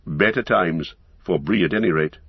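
Guy doing an old storybook wizard, knowing and sure of himself, low husky voice. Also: moderate pace, dry recording, lo-fi sound, heavily acted. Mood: assured. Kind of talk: audiobook character acting.

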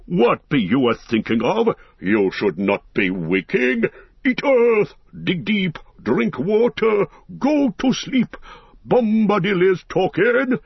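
A man's voice: high-pitched, big pitch swings, takes it slowly, vocal fry, slightly rough, no echo, lo-fi sound, pitched above the performer's own voice, exaggerated voice. A man voicing a jolly old male forest spirit, chanting in a rustic sing-song rhyme. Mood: commanding.

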